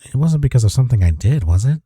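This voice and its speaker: Man playing a tender, very mellow boyfriend. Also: mid-range voice, sing-song, fast talker, breathy edge, dry recording, clean studio sound. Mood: tender, reassuring. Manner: tender, reassuring, soft-spoken. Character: devoted, gentle boyfriend comforting his struggling partner. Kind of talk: intimate comfort audio roleplay.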